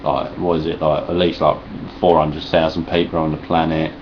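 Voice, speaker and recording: Low-voiced essex geezer mumbling sarcastic rants at his webcam, bit of hiss, dull muffled audio. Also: normal speed. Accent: Cockney Essex. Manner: deadpan, sarcastic, rambling, heavy swearing. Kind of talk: sweary deadpan vlog response.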